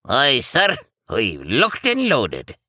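A sardonic Scottish elf who mans the big guns in a comic war story. The voice is husky and forceful, swooping widely in pitch, in a dry close recording. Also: male voice, wildly swooping pitch, drawn-out words, dry recording, exaggerated voice. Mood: dutiful. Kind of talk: military comedy audio story.

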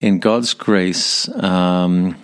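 A man in his fifties from Northern Ireland with a mild Northern Irish accent, telling his story as a podcast guest in a measured way, repeating words as he thinks. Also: low voice, steady tone, clean tone. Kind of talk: conversational storytelling.

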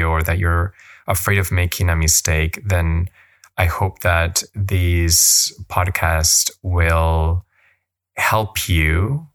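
Slow, low-voiced man reflecting. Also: fairly bright tone. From Colombia. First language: Spanish. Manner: thoughtful, self-questioning, frequent restarts. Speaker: yoga teacher and podcast host. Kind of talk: reflective podcast monologue.

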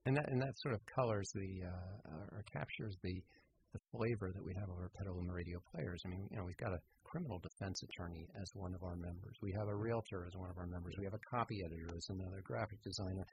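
A man with a deep, creaky voice speaks as a guest in an interview. His answers are wordy and reflective, with somewhat florid phrasing. The recording has noticeable background noise. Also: quick pace. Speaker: interview guest, radio theater producer.

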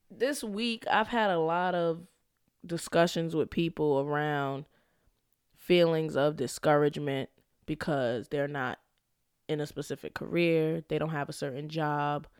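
A young woman hosts a laid-back solo podcast, sliding into slang and breaking into laughs. Her voice is smooth but a little stuffy from a cold. It is recorded close and dry, with no room sound. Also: low voice, no echo. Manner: laughs often, slangy and upbeat. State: slightly congested. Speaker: podcast host; school counselor. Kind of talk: casual solo podcast monologue.

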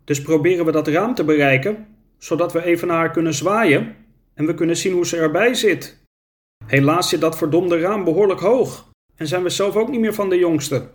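A man's voice: fairly high pitch, normal speed, pitched above the performer's own voice, lightly acted. A man reading a storybook and voicing an elderly son worried about his mother. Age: older.

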